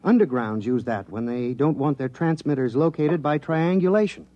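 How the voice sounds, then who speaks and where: A man's voice: medium pitch, normal speed, dark tone, dull audio, natural voice. An actor playing an earnest male radio engineer in a 1950s radio drama, explaining his decoding of star signals at length.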